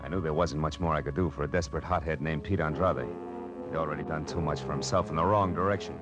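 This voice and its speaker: An American actor playing a tough, wisecracking private detective in a 1940s radio noir drama, wry and clipped. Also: male voice, very deep voice, fairly even intonation, fast talker. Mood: hardboiled narrating. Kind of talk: 1940s radio noir acting, hardboiled narration. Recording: vintage radio recording with music behind.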